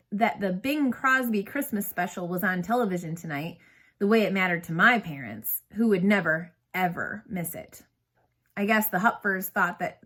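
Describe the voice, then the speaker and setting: A woman's voice: medium pitch, a bit bright. Woman reading a storybook aloud expressively. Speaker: read-aloud narrator. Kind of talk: storybook narration, read aloud.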